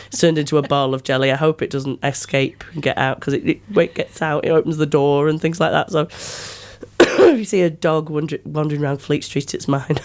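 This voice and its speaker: A woman radio host with a New Zealand accent talks in a casual, chatty way. Her voice is a little croaky as she gets over an illness. She speaks into a studio microphone. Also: sits low, animated, normal speed, darkish tone. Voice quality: slightly croaky. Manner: chatty, informal.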